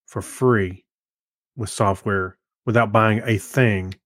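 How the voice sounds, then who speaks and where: A man's voice: fairly low pitch, steady tone, slow pace, no room sound, studio quality. A man co-hosting a podcast, chatting in an easygoing, informal way. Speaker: podcast co-host. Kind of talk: casual chat.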